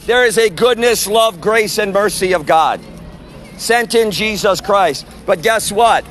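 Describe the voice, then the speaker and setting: A man preaching loudly and forcefully on an outdoor sidewalk, proclaiming to passersby. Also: high-pitched, measured pace, faint music behind. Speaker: street preacher. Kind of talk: open-air street preaching.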